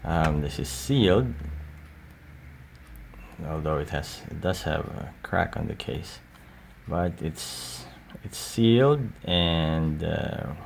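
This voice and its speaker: A man with a very low voice and a lot of vocal fry talks very slowly through a casual show-and-tell. He sounds halting and unscripted, with some faint noise behind him. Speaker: collector showing cassette tapes. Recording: audible steady background noise.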